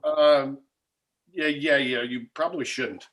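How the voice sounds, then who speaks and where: A man's voice: medium pitch, steady tone, slow, fairly bright tone. A man playing an uneasy, easily offended screenwriter of about forty, stammering awkwardly in a comedy table read. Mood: polite, uneasy.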